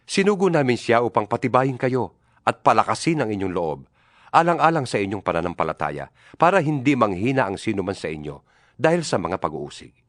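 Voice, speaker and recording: Guy playing a fatherly apostle urging his flock, mid-pitched, really expressive, bible drama. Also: no room sound, clean studio sound, close to an everyday voice. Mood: anxious, earnest.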